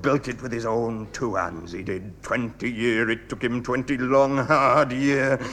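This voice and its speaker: An actor playing a proud, stubborn old mill owner with a Northern English accent, theatrically earnest, in a radio comedy sketch. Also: male voice, mid-range voice, steady tone, unhurried, a bit bright, slight background noise, close to an everyday voice. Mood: proudly reminiscing. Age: older. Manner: dogged, theatrically earnest dialect speech.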